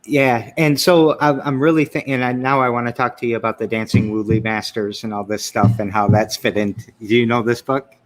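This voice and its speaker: A man's voice: medium pitch, steady tone, a little fast, few pauses. A man with a Michigan accent hosting a casual interview, talking enthusiastically and rambling through his thoughts. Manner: rambling, enthusiastic, trails off and restarts. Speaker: show host.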